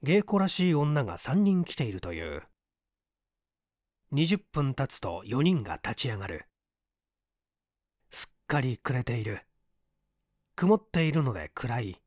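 Expressive male storyteller with a lively range. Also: mid-range voice, a little slow, long pauses, dry recording, studio quality. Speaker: audiobook narrator. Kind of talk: scripted narration of mystery fiction.

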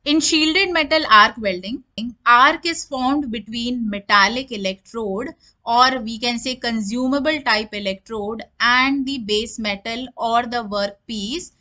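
A woman's voice: on the high side, a little slow, bright, forceful tone. A woman giving a technical lecture over slides, explaining each term and then restating it in simpler words.

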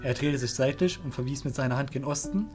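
A man's voice: medium pitch, fast talker. A male narrator reads a fantasy audio drama in scripted storytelling style. Music plays faintly underneath his voice.

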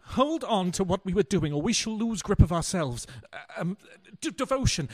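An actor playing a bumbling, self-dramatizing husband in a radio play, stammering and florid. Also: male voice, high-pitched, animated, normal speed, darkish tone. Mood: flustered, bewildered.

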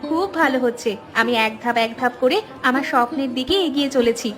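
A woman's voice: high-pitched, a little fast, fairly bright tone. A woman voicing a cheerful, affectionate young student in a cartoon fairy tale. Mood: warm, chatty. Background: music.

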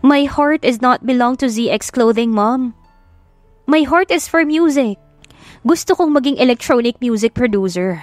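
A woman's voice: a little high, lively intonation, measured pace, no room sound, pitched above the performer's own voice. A woman voicing a passionate, rebellious young heiress daughter with a Filipino accent, emphatic and pleading, in an audio drama. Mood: impassioned pleading. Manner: emphatic, pleading, switches languages. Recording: music behind the voice.

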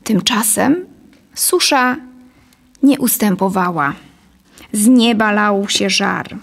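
A woman's voice: mid-range voice, lively intonation, slow, vocal fry. A woman in her thirties reading a scripted story as narrator, close to a studio microphone. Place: dark small studio, indoors. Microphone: studio condenser on boom, close.

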